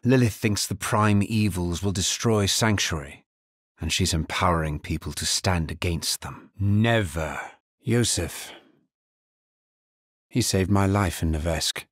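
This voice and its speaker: Deep, husky, grave necromancer. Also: male voice, unhurried, no echo, studio quality. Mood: somber, wary. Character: grave, world-weary male necromancer hero. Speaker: video game voice actor. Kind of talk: dramatic fantasy game dialogue lines.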